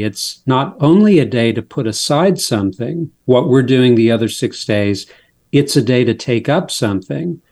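A man who is a guest author, explaining his faith in a measured, steady interview manner. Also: mid-range voice.

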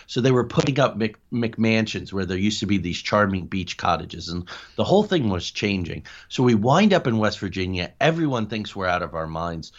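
An American man from New Jersey telling personal stories as an interview guest, in an excitable, high-energy, digressive way. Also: normal speed, few pauses, highs cut off. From New Jersey, United States. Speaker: podcast guest, paranormal investigator. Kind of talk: conversational personal storytelling.

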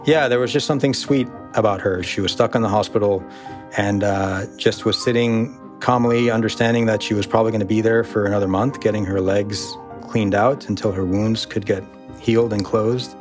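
An American man, a surgeon, recalling his work in a thoughtful, halting way with fillers. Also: on the low side, fairly even intonation, soft background music. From United States. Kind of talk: interview recollection.

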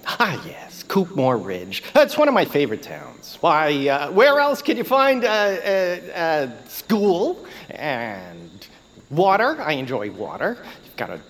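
An actor playing a small, awkward radio celebrity in a comic audio drama, halting, fumbling and apologetic. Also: male voice, on the high side, huge pitch swings, full character voice. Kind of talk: acted comic audio fiction.

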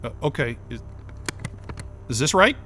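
An actor playing a nervous, disoriented job interviewee in an audio drama, hesitant, halting and defensive. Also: male voice, mid-range voice, sing-song. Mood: confused, uneasy. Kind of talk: naturalistic audio drama acting.

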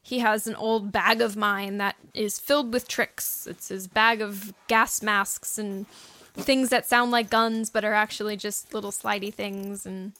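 A woman's voice: mid-range voice, steady tone, measured pace, bright, forceful tone, no room sound. A woman co-hosting a podcast, explaining things casually and chattily with plenty of examples. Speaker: podcast host and audio drama writer. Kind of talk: casual explanatory talk with co-host.

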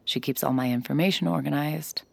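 An actress playing a young adult mind reader in an audio drama, narrating her thoughts quietly and reflectively, sometimes in a whisper. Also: deep voice, brisk, creaky, exaggerated voice. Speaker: mind-reading lead character.

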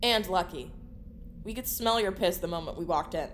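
A woman's voice: fairly low pitch, normal speed, a little hiss or hum, close to an everyday voice. Sardonic tough young woman, voiced by an actress. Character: sardonic, foul-mouthed young woman, tough monster killer. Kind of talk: audio drama acting.

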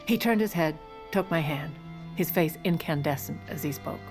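A low-pitched, grand woman's voice in an audio drama. She is a sardonic, self-important former First Lady narrating her own memoirs with theatrical flourish. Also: moderate pace, over music. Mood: grand, solemn.

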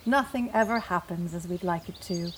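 A woman's voice: on the low side, normal speed, a little hiss or hum, close to an everyday voice. An actress in an audio drama playing a sensible, resigned woman with a steadying, plain-spoken manner. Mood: resigned, reflective. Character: resigned, sensible, soothing woman, school director. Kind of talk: naturalistic audio drama acting.

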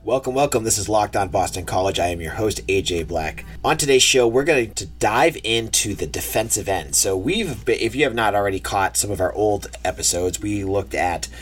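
A man's voice: lowish voice, expressive, moderate pace, few pauses. A man with a nasal, congested voice from a cold, hosting a sports podcast in a rambling, chatty way. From New England. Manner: rambling, self-correcting, chatty. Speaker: podcast host. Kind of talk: casual sports podcast talk.

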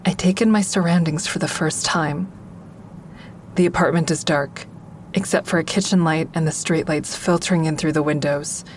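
A woman's voice: sits low, steady tone, normal speed, slight background noise, close to an everyday voice. An actress playing a tense, watchful woman who narrates her own troubled story in an audio drama. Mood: somber, uneasy. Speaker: narrator and lead actor in a fiction podcast. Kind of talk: first-person dramatic narration.

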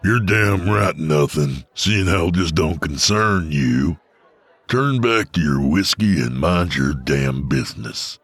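A man voicing a brutal old-west gunslinger with a gravelly, threatening voice. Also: rumbling low, animated, slow, a bit bright, no echo, heavily acted.

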